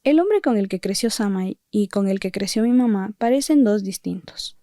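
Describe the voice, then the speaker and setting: Woman podcast host reading her script, mellow voice, dry close sound, thoughtful. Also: medium pitch, expressive, moderate pace, no echo. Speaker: journalist host. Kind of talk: scripted documentary narration.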